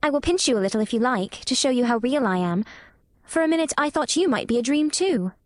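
High animated young girl, inquisitive, forthright, questioning, storybook character, voiced by a woman. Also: fast talker, no echo, studio quality. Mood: frank curiosity.

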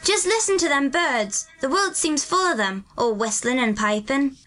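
A woman's voice: high-pitched, lively intonation, moderate pace, a bit bright, soft background music, pitched above the performer's own voice. A woman voicing a cheerful, kindly country boy with a broad Yorkshire accent. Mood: earnest. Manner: broad dialect, earnest and kindly.

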